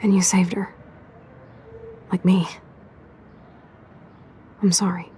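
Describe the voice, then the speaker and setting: A woman playing a brash, loyal, rebellious teenage girl in a video game, blunt and slangy with a gravelly voice. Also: on the low side, flat delivery, slow pace, dark tone, slight background noise. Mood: regretful. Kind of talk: naturalistic video game acting.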